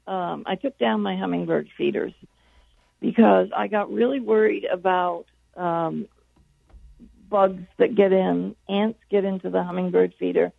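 A hoarse woman, her voice going, chats in a rambling and self-deprecating way as a gardening guest. Her voice comes through gravelly and husky. The call sounds dry and tinny, like a phone line. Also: medium pitch, dry recording. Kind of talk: casual call-in chat.

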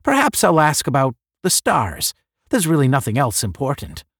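A man's voice: a little high, huge pitch swings, moderate pace, fairly bright tone, no echo, clean studio sound, pitched above the performer's own voice, full character voice. A man voicing a proud, questing cosmic giant with a demanding, declarative manner in a science fiction audiobook. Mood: musing. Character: proud, questing cosmic giant who strides between stars.

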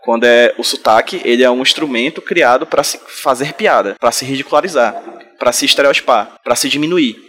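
Man, very fast, passionate, casual podcast chat. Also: mid-range voice, a bit bright. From Northeast Brazil, Ceará. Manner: fast, passionate, full of restarts and tag questions. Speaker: podcast guest, comics creator. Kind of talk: casual group conversation.

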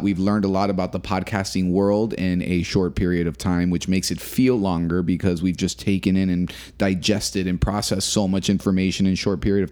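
Deep, mellow-voiced podcast co-host man. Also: smooth. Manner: earnest, halting with restarts. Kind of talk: casual chat.

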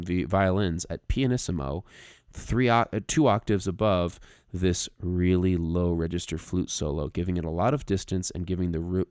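Deep voiced guy cohosting a music podcast, casual but emphatic, stop-start, super dry audio. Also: a little mellow, clear, resonant tone, no room sound. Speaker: podcast co-host.

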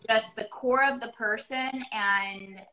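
Bright-voiced woman hosting a chat. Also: fairly high pitch, fairly even intonation, takes it slowly, rough recording. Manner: warm, effusive, rambling. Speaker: podcast host. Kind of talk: casual interview chat.

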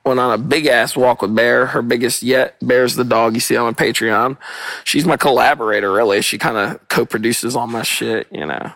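A hoarse young man hosting a casual solo podcast outdoors, rambling and cursing with spiritual asides, recorded on a portable mic rather than in a studio. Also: mid-range voice, steady tone, moderate pace, a bit bright, dry recording. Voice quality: rough, gravelly voice. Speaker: podcast host. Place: outdoors on a walk with dogs. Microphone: portable, not studio quality. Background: dogs playing.

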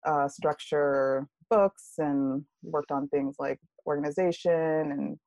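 A woman guest on a podcast interview talking about her career in a relaxed way, with many fillers. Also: low-pitched, takes it slowly, no echo. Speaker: guest, UX writer. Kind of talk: casual interview.

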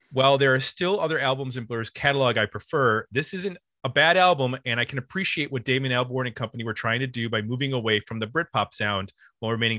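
Guy hosting a music podcast, bright voice, dry close sound, casual chat. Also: mid-range voice, no room sound. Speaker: podcast host. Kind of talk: casual music discussion, reading out listener comments.

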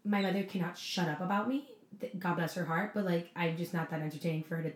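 Lower-pitched giggly young woman, echoey. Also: fairly even intonation, quick pace. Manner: giggly, rambling, self-deprecating. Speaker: podcast co-host. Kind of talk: casual chat. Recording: echoey room.

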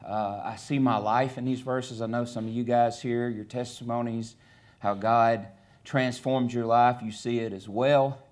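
Man, Southern US accent, middle-aged, guest preacher, casual halting sermon delivery, reading scripture aloud. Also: mid-range voice, steady tone, darkish tone. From Alabama, USA. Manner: conversational, halting, many fillers and restarts.

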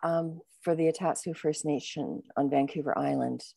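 A woman with a very low, gravelly voice recalls her past in a reflective, measured way. Her speech is dry and close, with no room sound. She tells her stories at an unhurried pace. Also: steady tone, dark tone, dry recording. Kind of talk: casual conversation.

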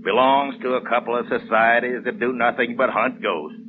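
A man's voice: mid-range voice, steady tone, normal speed, lightly acted. A man acting a folksy, easygoing, talkative property seller in an old radio drama, persuading with homespun sayings. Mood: affable, persuasive. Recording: tinny, noisy old radio broadcast.

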